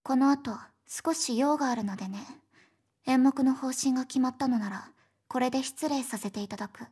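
A woman voicing an aloof, measured high-school genius girl with stiffly polite speech in an anime game. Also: darkish tone, slightly rough.

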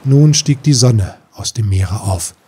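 A man narrating a fairy tale audiobook in a measured, literary storytelling manner. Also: medium pitch, lively intonation, husky. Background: soft music.

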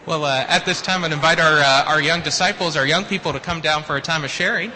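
A man with a bright, fairly high voice leads a church service as pastor. He turns upbeat and playful when talking with children. The sound carries a long hall reverb from the sanctuary. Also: fast, a little hiss or hum. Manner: upbeat and playful with children, often restarting phrases.

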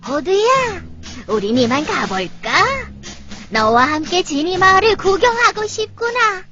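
A woman playing a kindly, inquisitive old grandmother in a children's cartoon, with gentle elderly phrasing. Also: extremely high pitch, very animated, measured pace, full character voice. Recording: muffled audio under loud music.

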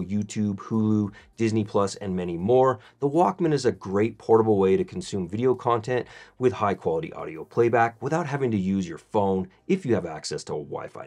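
A man presenting a scripted product review as narration, in a measured, methodical way. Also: on the low side, a little mellow.

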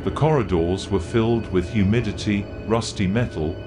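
A man reading scripted documentary-style horror narration in a measured, factual way. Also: fairly low pitch. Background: music.

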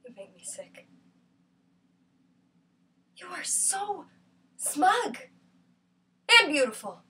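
A high female voice, recorded at some distance from the mic, an actress playing a lonely and bitter woman in a stage monologue. Her delivery is intense and halting, raw with hurt, moving between hushed words and outcry. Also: animated. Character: lonely, bitter, wounded woman who feels ugly. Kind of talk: theatrical monologue performance.

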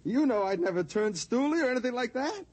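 A man's voice: very high-pitched, sing-song, brisk, exaggerated voice. A man playing a cowardly, nervous small-time crook in 1950s radio drama, stammering in tough-guy slang. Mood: frightened pleading.

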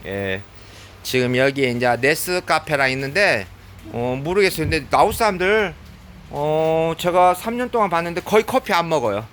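Vlogger man with a cold, bright, talkative, filling pauses with a stream of "yes", filmed on a handheld phone in a shop with faint store hum. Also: on the high side, lively intonation. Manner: chatty, fills pauses with frequent "yes". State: has a cold. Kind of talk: casual running commentary while shopping. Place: convenience store in Laos.

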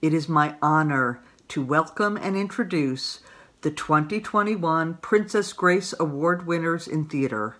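A woman's voice: low voice, expressive, a little slow, fairly bright tone. Grateful woman presenting awards. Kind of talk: scripted presentation to camera. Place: small room indoors.

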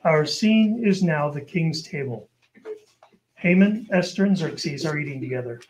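A man's voice: a little high, lively intonation, a little slow, a little mellow, slight background noise, poor audio. A man narrating an old-time radio show from a script, as its announcer.

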